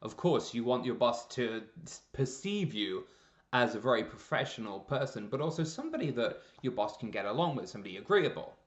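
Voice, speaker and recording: A man presenting a scripted promotional explainer in a measured, deliberate way with emphasis on key words. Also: medium pitch, very animated. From Canada. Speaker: presenter, freelance copywriter. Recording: roomy echo, slightly dull lo-fi sound.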